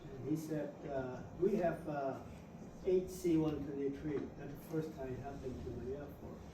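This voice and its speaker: A man's voice: mid-range voice, fairly even intonation. Older Vietnamese-accented man in an echoey hall. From South Vietnam. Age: 70s. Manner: halting, frequent "uh" pauses, simple broken English. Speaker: veteran guest speaker. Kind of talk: personal war storytelling to an audience. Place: university lecture hall. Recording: echoey hall, distant mic, background noise.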